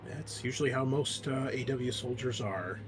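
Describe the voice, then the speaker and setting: A man's voice: medium pitch, moderate pace, music in the background. A man hosting and running a tabletop game session, chatting casually and welcoming his players in a friendly way. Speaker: game master and show host.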